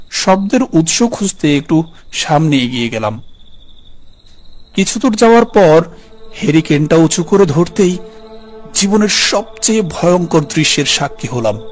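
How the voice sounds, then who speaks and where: A man narrating a scripted horror story in the first person. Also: on the high side.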